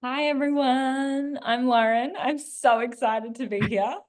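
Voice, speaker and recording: A woman introduces herself over a video call, excitable and gushing. Her words tumble out with casual fillers as she describes finding the program. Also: high-pitched, unhurried. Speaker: new program member.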